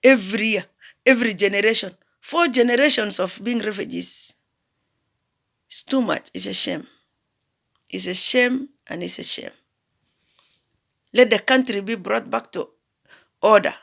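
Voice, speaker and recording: A woman's voice: medium pitch, measured pace, gravelly, long pauses, fairly bright tone. A woman from South Sudan giving an impassioned political address, emphatic, repeating phrases and asking rhetorical questions. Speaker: diaspora commentator.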